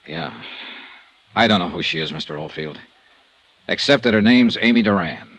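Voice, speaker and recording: A clipped radio private eye, animated pitch. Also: male voice, normal speed, full character voice, low-quality recording. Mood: matter-of-fact. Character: tough, plain-spoken male private insurance investigator, narrating his case. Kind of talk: 1950s radio detective drama acting.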